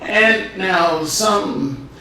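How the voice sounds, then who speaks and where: Podcast host guy chatting slow and easy, kinda far from the mic, echoey room w/ light hiss. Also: high voice, pushed and bright. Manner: laid-back, drawn-out, playful. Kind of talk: casual chat. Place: home studio room.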